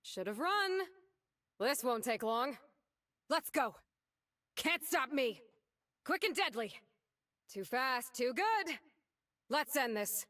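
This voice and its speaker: A woman's voice: high voice, big pitch swings, slow pace, pauses often, sharp tone, recorded from a distance, exaggerated voice. A woman voicing a confident, defiant young female assassin in terse, cocky video game lines. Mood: cocky, taunting. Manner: terse, cocky one-liners.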